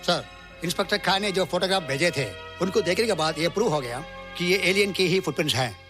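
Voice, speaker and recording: An actor playing an earnest space scientist in film drama, polite and measured. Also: male voice, high-pitched, expressive, music in the background. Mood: serious. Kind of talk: Hindi film drama dialogue.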